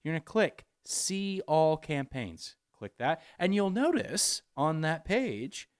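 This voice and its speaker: Lively man giving a promotional announcement. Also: on the high side, takes it slowly, clean tone. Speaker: campaign spokesperson. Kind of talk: informal promotional announcement with instructions.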